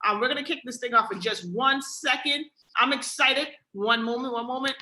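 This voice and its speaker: A young adult woman from the Bahamas with a Bahamian accent, giving an energetic motivational sales pitch on a webinar, often asking "right?" and calling the audience "guys.". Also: moderate pace, echoey room. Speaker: webinar presenter.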